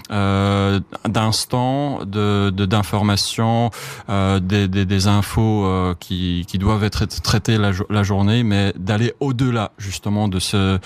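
A man with a Czech accent, a foreign correspondent answering interview questions in French with many fillers and restarts. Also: fairly low pitch.

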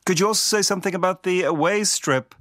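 Radio interviewer guy, higher voice, short polite questions. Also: fast, fairly bright tone.

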